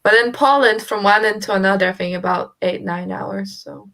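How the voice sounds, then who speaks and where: A woman's voice: medium pitch, moderate pace, creaky, fairly bright tone, low-quality recording. A woman chatting casually and informally as co-host of a live stream. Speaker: co-host of a book readalong stream. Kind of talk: casual chat.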